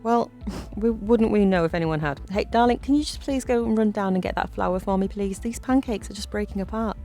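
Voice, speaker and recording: Concerned mum in a horror story, acted by a female narrator: coaxing, incredulous, pitch raised. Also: fairly quick, mellow, soft background music, natural voice. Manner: coaxing, questioning. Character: concerned mother of a small boy, coaxing and incredulous.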